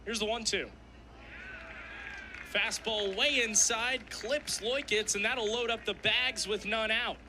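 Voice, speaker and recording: A man's voice: sits high, slow, noisy background. A young man doing radio play-by-play of a live game in clipped, descriptive phrases. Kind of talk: live sports commentary.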